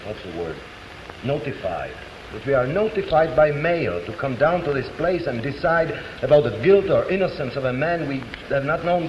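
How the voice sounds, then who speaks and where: An actor playing a principled, courteous immigrant juror who speaks formally and deliberately in a 1950s live television drama. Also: male voice, medium pitch, natural voice. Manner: formal, deliberate, word-searching. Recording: echoey, noisy 1950s television broadcast.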